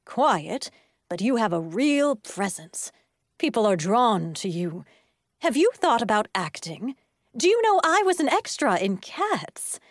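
A woman's voice: fairly high pitch, big pitch swings, a little slow, no room sound, studio quality. A woman voicing a confiding, oversharing schoolteacher with stage dreams in a children's audiobook.

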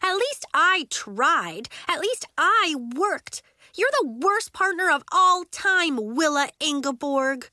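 Bossy schoolgirl, played by a woman, high and piercing, indignant, accusing, storybook style. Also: very animated, slow pace, pushed and bright, no echo, clean studio sound, exaggerated voice. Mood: furious. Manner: emphatic, indignant. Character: bossy, angry fifth-grade schoolgirl, exasperated and accusing.

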